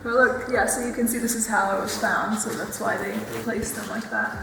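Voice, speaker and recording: A woman's voice: medium pitch, steady tone, moderate pace, gravelly. A young woman from Bulgaria chatting casually as a travel vlogger, explaining the sites with lots of "like" fillers. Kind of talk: casual vlog narration. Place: outdoors at monuments and sites. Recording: faint outdoor and vehicle noise.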